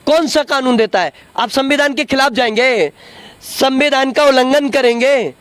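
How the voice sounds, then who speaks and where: A man argues politics in a strikingly high-pitched voice. He is combative and insistent, repeating himself and pressing rhetorical questions as an interviewee who wants to be heard. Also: normal speed, fairly bright tone, clean tone, no echo. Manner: combative, cuts in to demand a hearing. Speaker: interviewee in a street political debate. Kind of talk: heated political argument.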